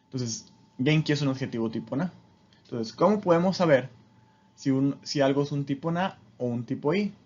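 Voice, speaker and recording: A man's voice: medium pitch, lively intonation, moderate pace, a little mellow, dull audio. A man giving an informal, explanatory language lesson as a teacher, with a didactic manner and frequent short check-in questions.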